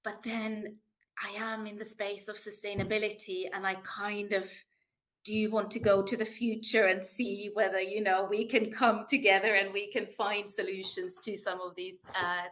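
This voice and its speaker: A woman's voice: mid-range voice, little pitch movement, moderate pace, fairly bright tone. Friendly, thoughtful woman podcast guest. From Finland. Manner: friendly, thoughtful, some repeats. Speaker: guest, ESG manager. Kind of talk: conversational podcast interview.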